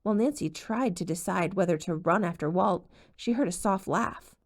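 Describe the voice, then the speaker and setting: A woman narrates a novel aloud as an audiobook, her voice sitting on the lower side. She tells the story expressively and keeps it moving. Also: normal speed. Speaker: audiobook reader.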